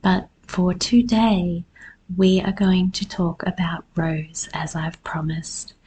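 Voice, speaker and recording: A woman hosts a solo podcast in a very husky, hoarse voice that often drops into fry. She takes her time, speaking slowly and reflectively, as if sharing personal thoughts. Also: lowish voice, a little mellow, poor audio. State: hoarse after losing voice. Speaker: podcast host. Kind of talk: personal solo podcast talk about herbs.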